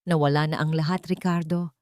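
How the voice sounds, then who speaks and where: A woman voicing a remorseful, tearful businesswoman who has lost everything, speaking softly and brokenly. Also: deep voice, fairly even intonation, brisk, no echo, studio quality, natural voice. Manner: soft, broken, pleading.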